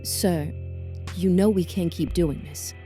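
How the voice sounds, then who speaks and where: A woman's voice: on the low side, fairly even intonation, slow pace, warm tone. A woman playing an earnest, conscientious lab assistant in her thirties in an audio drama, speaking formally. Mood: concerned. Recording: music behind the voice.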